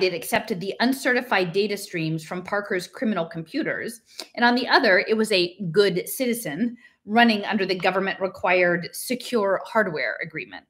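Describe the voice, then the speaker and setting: A woman's voice: on the low side, a bit bright. A middle-aged woman with an American accent, talking casually and reading aloud as host of a home stream, in an emphatic, conversational way. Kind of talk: casual talk and unrehearsed reading aloud from a book. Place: small living room at home, indoors.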